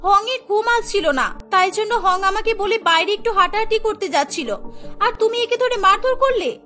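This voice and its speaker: A woman as a high, insistent young wife. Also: animated, moderate pace, sharp tone, soft background music, heavily acted. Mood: upset. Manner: pleading, insistent. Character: young wife, headstrong protective daughter. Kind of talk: cartoon story dubbing.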